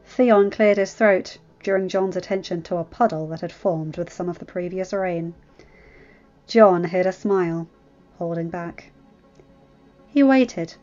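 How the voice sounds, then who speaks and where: A woman narrates a fantasy story in an expressive storytelling voice pitched a little low. The recording is slightly dull, and music plays clearly behind her. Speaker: fan fiction audiobook reader.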